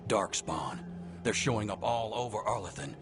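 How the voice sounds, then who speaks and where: A man playing a very deep-voiced, sombre ranger. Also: lively intonation, moderate pace, over music, natural voice. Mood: sombre, terse. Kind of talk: dramatic video game cutscene acting.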